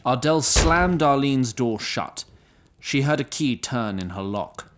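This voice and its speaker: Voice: medium pitch, expressive, slow pace. A narrator reading scripted third-person narration for a fantasy audio drama.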